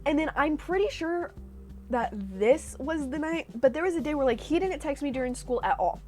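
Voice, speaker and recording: A young woman from California telling stories in a chatty, animated way with sarcastic asides, reacting to her old posts. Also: high voice, moderate pace, faint music behind. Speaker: vlogger. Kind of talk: casual reaction vlog storytelling.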